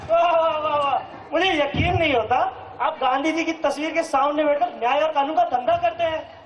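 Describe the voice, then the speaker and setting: A man's voice: extremely high pitch, moderate pace, lo-fi sound, a faint crowd, a little hiss or hum. A man acting an indignant, righteous father and anti-corruption official in a stage play, declaiming with sarcasm. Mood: stern, triumphant. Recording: echoey live stage recording from hall.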